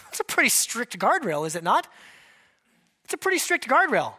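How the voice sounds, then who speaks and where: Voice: mid-range voice, huge pitch swings, moderate pace, pushed and bright. A pastor giving a sermon in a conversational way, breaking it up with joking asides.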